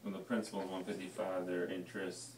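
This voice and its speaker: A man's voice: low voice, level tone, fast talker, recorded from a distance. A man talking as an investor in a casual business phone conversation. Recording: echoey, noisy room, away from mic.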